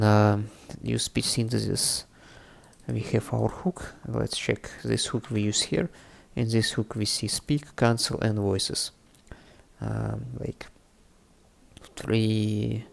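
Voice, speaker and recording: A native Russian-speaking man with a Russian accent presents a casual coding tutorial, thinking aloud in a halting way. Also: lowish voice, vocal fry. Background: background music, typing on keyboard.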